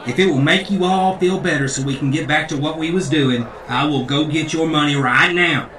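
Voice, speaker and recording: An actor playing a pompous, indignant, crooked church leader with a preachy, folksy manner in a comedic audio drama. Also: male voice, on the high side, moderate pace, fairly bright tone, lightly acted. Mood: grudging. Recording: echoey room, distant, crowd behind.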